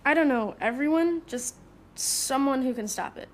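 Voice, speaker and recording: A woman's voice: high-pitched, normal speed, slight background noise, lightly acted. A woman playing a troubled, principled young superhero in an audio drama, speaking sincerely with firm moral conviction. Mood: anxious, urgent.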